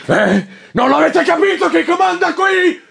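A man's voice: extremely high pitch, very animated, measured pace, gravelly, a bit bright, full character voice. An actor playing a violent, drunken husband and father who rants vengeful threats in an audio drama. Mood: menacing, bitter.

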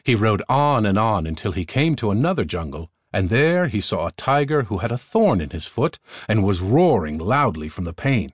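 A man's voice: lowish voice, very animated, moderate pace, few pauses, dry recording, clean studio sound. A man narrating a fairy tale audiobook in a scripted storytelling style. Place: recording studio.

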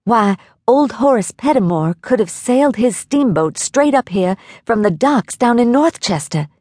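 Lady playing a folksy henchman guy, polite but blunt, cool menace, slowish storybook delivery. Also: on the low side, expressive, darkish tone, dry recording, studio quality. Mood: eager, awed. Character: folksy, coolly menacing male henchman in a thriller.

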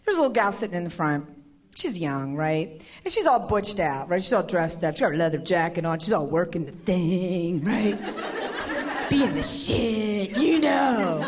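A woman in her forties doing a stand-up comedy routine on stage, animated, shouting her punchlines and slipping into voices. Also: lowish voice, measured pace. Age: 40s. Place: comedy stage before a live audience. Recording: live show with loud crowd and laughter.

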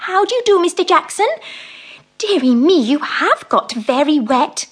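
A woman's voice: high-pitched, animated, normal speed, pitched above the performer's own voice. A woman voicing a fussy, very tidy little female mouse who talks in a prim, scolding way.

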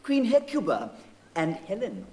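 A man's voice: high-pitched, wildly swooping pitch, measured pace, darkish tone, exaggerated voice. An actor playing a gossipy male servant who reports news in rhetorical, storytelling Shakespearean style. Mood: relishing gossip. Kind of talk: classical Shakespearean stage acting.

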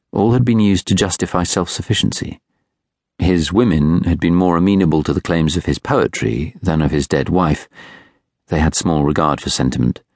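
A British man reading literary fiction as an audiobook narrator, in a measured, reflective way. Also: a bass voice, a little mellow, no room sound, clean studio sound. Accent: British English. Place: studio.

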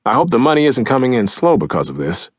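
A man's voice: medium pitch, very animated, fast, husky, no room sound, studio quality. A man voicing a curt, hard-nosed trailer park landlord with blunt, terse delivery in an audiobook. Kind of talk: audiobook character dialogue.

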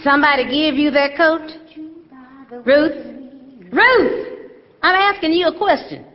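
A woman's voice: high-pitched, lively intonation, measured pace, long reverb tail, lo-fi sound. An actress playing a stern, churchgoing mother who scolds in folksy, emphatic speech. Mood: suspicious, scolding. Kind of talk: stage drama acting.

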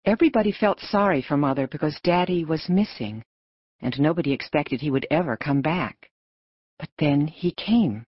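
A woman narrating an audiobook story in an expressive storytelling manner. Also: low-pitched, normal speed, husky, no room sound, lo-fi sound.

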